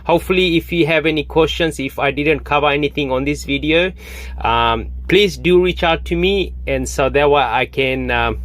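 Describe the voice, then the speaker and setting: Man in his thirties, slightly high voice, casual pitch to camera, halting delivery. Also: fairly bright tone. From Fiji. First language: Hindi. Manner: halting, many fillers and restarts. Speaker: online business promoter.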